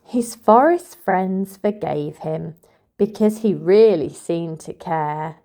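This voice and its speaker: A woman reading a picture book aloud to toddlers in an expressive, sing-song storytelling manner. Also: fairly low pitch, takes it slowly. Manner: expressive, sing-song, addressing young children.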